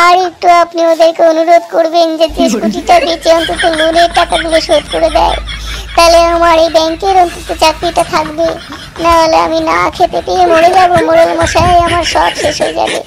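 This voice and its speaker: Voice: very high-pitched, gravelly, noisy background. A performer voicing a pleading, put-upon male loan collector in a comedy cartoon, whining and deferential. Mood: resigned, pleading. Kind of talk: amateur comedy cartoon dub.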